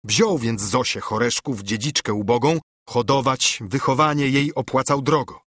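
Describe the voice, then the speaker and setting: A man acts out a brooding monk in a storybook told in verse. He is earnest and urgent, admonishing as he reasons. His voice has a husky edge in a dry recording. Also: medium pitch, moderate pace, fairly bright tone, no echo, lightly acted. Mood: pleading. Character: brooding, urgent monk, scolding and pleading with a friend. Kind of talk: dramatised audiobook reading of verse.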